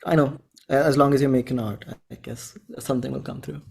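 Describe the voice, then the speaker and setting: A man in his twenties from India with an Indian accent, talking informally and earnestly as an interview guest, in halting phrases. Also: medium pitch, steady tone, gravelly, slightly rough. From Bhopal, India. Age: 20s. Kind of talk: casual chat.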